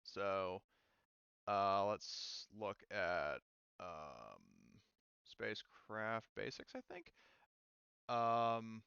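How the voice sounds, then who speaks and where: A man acting as game master, narrating a tabletop game casually and haltingly as he thinks aloud. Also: on the low side, expressive, gravelly, fairly bright tone, clean tone, no echo, lo-fi sound.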